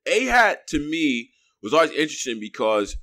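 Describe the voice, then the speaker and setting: A man's voice: fairly high pitch, very animated, moderate pace, bright, forceful tone, no echo. Slangy male host, dry sound. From born in Houston, raised in Rochester, New York. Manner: loose, slangy, rambling questions. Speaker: interview host. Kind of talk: casual interview chat.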